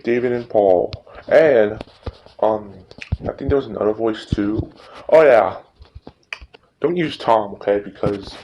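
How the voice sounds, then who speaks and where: A man with a fairly low voice talks through a tutorial in an informal, halting way, often repeating himself. The recording has clear background noise and some clicking. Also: warm tone, dull audio. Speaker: tutorial host. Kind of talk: casual screen-recording tutorial.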